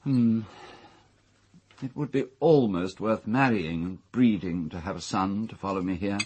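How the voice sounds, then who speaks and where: An actor playing a haughty reactionary gentleman who owns a castle, speaking pompously and precisely with an English accent in a radio drama. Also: lowish voice, a little slow, slight background noise, lightly acted. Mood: dry, wry. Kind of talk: British radio drama acting.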